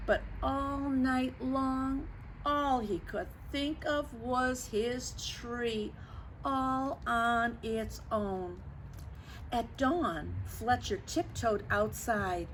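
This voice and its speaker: A woman reading a picture book aloud to children, expressive and dramatic, stretching words and chatting to her young listeners. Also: fairly high pitch. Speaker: storyteller for children. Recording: clearly noisy background.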